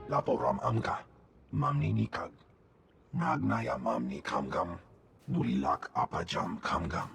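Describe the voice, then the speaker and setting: An actor playing a gruff, gravelly alien officer who speaks an invented tongue in short clipped bursts for a video game. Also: male voice, fairly low pitch, slight background noise, heavily acted. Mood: urgent, reporting.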